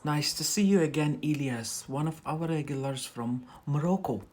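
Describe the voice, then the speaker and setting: An English teacher hosting a casual live lesson, speaking haltingly and repeating words as drills while chatting with viewers. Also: medium pitch, a little mellow. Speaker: English teacher, livestream host.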